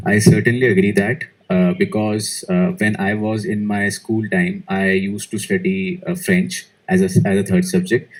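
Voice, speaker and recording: A man whose first language is Malayalam speaks in a fairly low voice. He answers test questions hesitantly, often restarting his sentences and filling pauses with "uh.". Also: flat delivery, poor audio. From India. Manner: hesitant, many fillers and restarts. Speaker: IELTS speaking test candidate. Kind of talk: exam interview answers.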